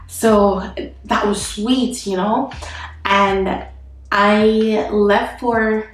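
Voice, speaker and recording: A woman's voice: mid-range voice, fairly even intonation, very slow, distant mic. A Jamaican woman vlogger with a nasal voice from a cold, telling a candid life update with lots of fillers and sighs. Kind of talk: casual life-update vlog. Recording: very echoey room, away from the mic, soft music behind.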